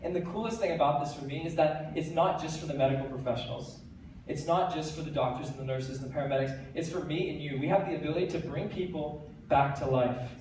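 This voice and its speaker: A teenage American man speaking on stage, earnest and energetic, his voice sitting a little high. He sounds distant from the microphone, with heavy echo filling a large hall. A faint hiss of noise sits underneath. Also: fast. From United States. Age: teens. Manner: earnest, energetic, with light self-deprecating jokes. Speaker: TEDx speaker. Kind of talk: stage talk to a live audience.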